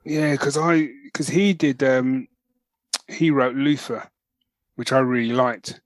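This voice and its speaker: A man hosting a podcast, chatting and ranting casually in an animated, storytelling way. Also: medium pitch, takes it slowly, dry recording. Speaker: podcast host.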